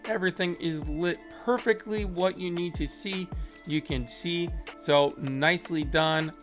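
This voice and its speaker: A man's voice: a little high, fairly bright tone. A young man in his twenties giving casual, upbeat commentary as he tours custom stadiums in a video game, recorded at a desk. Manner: upbeat, enthusiastic, praising. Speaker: gaming video creator. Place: small indoor room, webcam corner.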